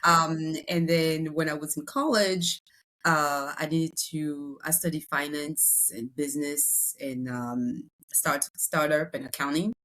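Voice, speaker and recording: Low-voiced French-accented woman. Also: steady tone, slow pace, fairly bright tone, rough recording. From Congo, raised in France. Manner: chatty, many fillers and restarts. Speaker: real estate broker. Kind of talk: podcast interview.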